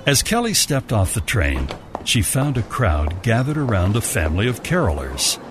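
A man's voice: on the low side, wildly swooping pitch, moderate pace, noisy background. A man narrating a scripted holiday story as a storyteller. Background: music.

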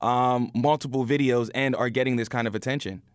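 A man hosting a podcast interview, speaking haltingly and often repeating words as he frames his questions. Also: mid-range voice, fairly even intonation, clean tone, dry recording, clean studio sound. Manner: halting, with frequent stammered repetitions.

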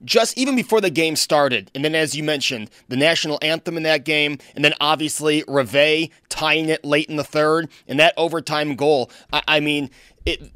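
A man's voice: a little high, fairly bright tone, no echo, studio quality. Earnest Buffalo radio co-host man. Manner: earnest, halting with repeats. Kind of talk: talk radio banter. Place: radio studio. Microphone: studio microphone.

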